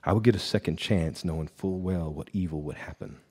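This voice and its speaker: A man's voice: low-pitched, fairly quick, a little mellow, slightly rough. An actor playing a bitter, haunted, hard-drinking guitarist, telling his tale in a brooding, theatrical noir radio drama style. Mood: bitter, ominous. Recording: live stage recording with background noise.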